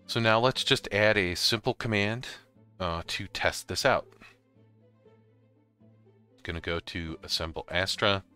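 Male tutorial host, very low pitch with a crisp, bright edge, informal step-by-step delivery. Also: measured pace, long pauses, faint music behind. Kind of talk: casual screen-recorded walkthrough.

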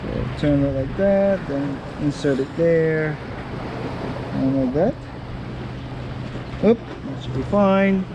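Middle aged guy vlogging his fishing trip on the rocks, kinda high voice, slow easy chat, surf and wind loud behind him. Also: lo-fi sound. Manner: easygoing, chatty, laughs and whoops when excited. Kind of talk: casual fishing vlog commentary. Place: outdoors on a rocky breakwater by the sea. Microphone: head-mounted action camera. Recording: wind and surf noise outdoors.

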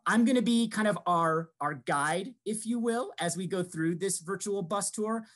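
A man's voice: sits high, a bit bright. A man in his thirties from New York hosting an admissions webinar over a video call, talking in a friendly way with many fillers and restarts. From Rochester, New York. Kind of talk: scripted-free webinar presentation. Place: small room indoors. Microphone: video call headset.

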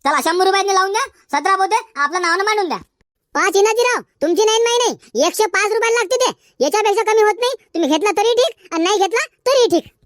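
A performer voicing a haggling, bantering cartoon chilli-market trader, squeaky and folksy, in comedic dialogue. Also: lively intonation, quick pace, fairly bright tone, no echo, clean studio sound. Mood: insistent haggling. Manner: folksy, insistent bargaining. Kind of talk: comedic cartoon dialogue in colloquial rural Marathi.

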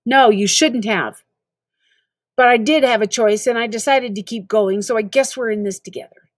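A woman's voice: fairly high pitch, moderate pace, no echo, clean studio sound, natural voice. A woman voicing a frustrated American schoolboy in a storybook, with emphatic, exasperated delivery. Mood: firm, resigned. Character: frustrated boy narrator, schoolkid trapped in a video game.

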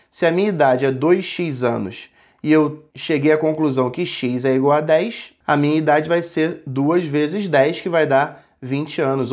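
A man's voice: on the high side, darkish tone. A man in his forties, a math teacher patiently working through an exam problem step by step, speaking to a webcam at home. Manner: patient, repeats and points at the board.

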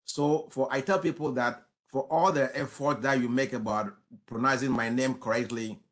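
An older man answers from his office on a video call, picked up by the computer's own mic. His voice is mid-pitched and he explains his view steadily and deliberately, like a doctor giving advice. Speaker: physician panelist. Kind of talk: conference panel answer.

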